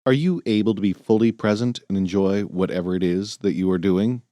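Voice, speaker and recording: A man reading a nonfiction audiobook in a measured, earnest, clearly phrased way. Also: on the low side, dark tone, no echo. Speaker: audiobook narrator. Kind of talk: scripted nonfiction audiobook narration.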